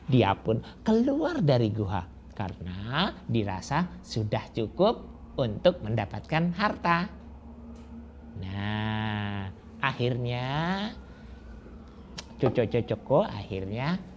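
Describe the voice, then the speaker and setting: A man telling a folk tale to children, slow and drawn-out, with rhetorical questions. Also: medium pitch, darkish tone. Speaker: storyteller. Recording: dull lo-fi recording with faint hiss.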